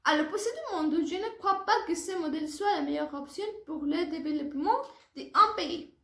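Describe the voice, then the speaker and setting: A woman's voice: extremely high pitch, moderate pace. A woman in her early twenties with a Mexican accent, a native Spanish speaker giving a careful, formal prepared oral presentation as a language student. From Puebla, Mexico. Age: early 20s. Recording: echoey room sound.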